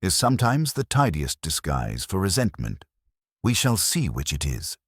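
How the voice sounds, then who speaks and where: A man voicing a calm, thoughtful police inspector who speaks in measured, detached, dryly philosophical sentences. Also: sits low, breathy edge, no room sound, studio quality, exaggerated voice.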